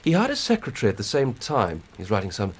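An earnest English police inspector, low-voiced. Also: male voice, wildly swooping pitch, quick pace, slightly rough, full character voice. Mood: earnest, matter-of-fact. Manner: emphatic, methodical reporting. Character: earnest, plain-spoken male police inspector. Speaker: actor in a radio drama. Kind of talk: 1990s British radio drama acting.

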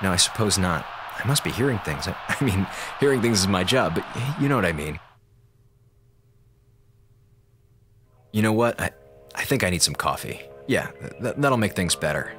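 An actor playing a sarcastic, theatrical slacker communications officer on a space station in a radio drama. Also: male voice, deep voice, expressive, fairly quick, music in the background. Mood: startled, unsure. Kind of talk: radio drama monologue as a log.

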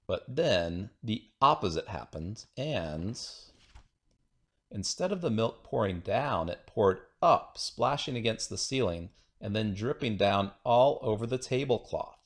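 A man reading a children's picture book aloud in an expressive storytelling manner. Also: fairly low pitch, unhurried. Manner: expressive storytelling with acted dialogue. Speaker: storybook reader.

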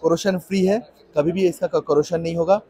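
A man's voice: fairly high pitch, fairly even intonation, brisk, creaky, dark tone. A man from eastern India with an Indian accent, giving an emphatic, repetitive sales demonstration as an exhibitor. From Kolkata, India. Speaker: exhibitor, product seller. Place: industrial exhibition stall.

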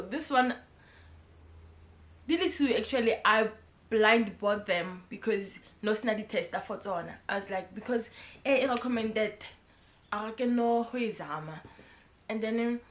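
A young South African woman with a Pretoria accent talks playfully to her camera at home, now and then slipping into snatches of song. Her voice sits high and sounds bright and forceful. The room gives it a roomy echo. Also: animated, unhurried. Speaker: vlogger. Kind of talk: casual vlog chat mixing English and Sepitori. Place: indoors at home, in front of a brick wall.

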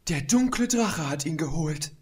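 A man's voice: a little high, expressive, normal speed, voice pushed up, natural voice. A man voicing a refined, fretful young female unicorn pony in an audiobook reading. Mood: worried.

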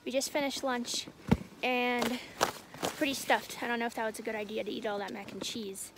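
A woman's voice: fairly high pitch, level tone, moderate pace, poor audio. A young woman narrating her own ski trip vlog in a playful, joking tour-guide way, outdoors on the slopes. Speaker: vlogger. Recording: with clear background music.

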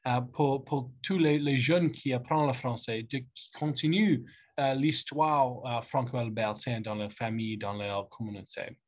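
A man in his thirties whose first language is English, with an English-speaking Canadian accent, giving a formal welcome speech as a politician hosting a youth panel. He talks haltingly, often pausing to search for words in his second language. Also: medium pitch. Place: office with lamp and bookshelf, indoors. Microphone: webcam on a video call.

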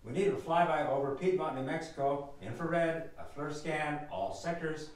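A man's voice: a little high, normal speed, natural voice. A man playing a terse army major giving clipped official orders in a radio drama. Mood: commanding. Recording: very echoey, distant, faint noise.

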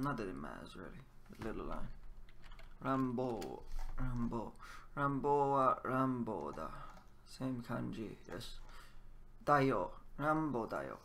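A man quietly talking to himself in a mumbling, low-key way while he studies and repeats phrases. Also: big pitch swings, slow pace, gravelly, a little hiss or hum. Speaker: language learner. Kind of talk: casual self-talk while studying.

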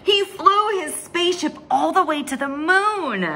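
Animated young woman reading a storybook. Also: sits high. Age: young adult. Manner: dramatic, with drawn-out words and hushed asides. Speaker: story time host reading a picture book aloud. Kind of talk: expressive children's storybook read-aloud. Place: small bright room indoors at home.

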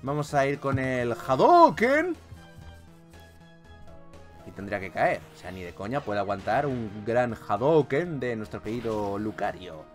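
A man's voice: mid-range voice, measured pace. A man with a Spain accent giving playful, exclamatory gameplay commentary as a video host. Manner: animated, exclamatory, jokey. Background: video game music.

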